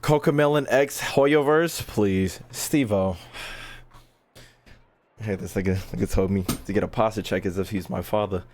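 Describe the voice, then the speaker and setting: A man doing casual, slangy gameplay commentary, expressive and given to mock-indignant rants. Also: lowish voice, normal speed, a little hiss or hum. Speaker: streamer playing and reacting to a video game.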